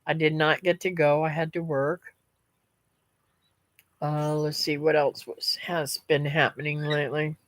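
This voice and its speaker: A middle-aged woman rambles to her live-stream viewers, calling them "guys" and "y'all." She talks slowly in a lowish voice that sounds a little stuffy and nasal. The sound is close and dry. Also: little pitch movement, no room sound. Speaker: homestead vlogger reading live comments. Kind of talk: casual live-stream chat.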